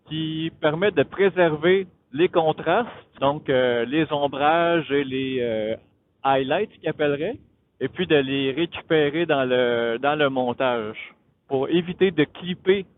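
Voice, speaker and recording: Male motovlogger, Quebec accent, slightly high voice, casual and halting explainer, phone-like helmet intercom sound. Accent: Quebec French. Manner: hesitant, halting, thinking aloud. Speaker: motovlogger, host of his own channel. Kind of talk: casual tech explainer vlog while riding. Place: on a motorcycle outdoors. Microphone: Bluetooth helmet intercom. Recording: muffled phone-like Bluetooth intercom, riding noise.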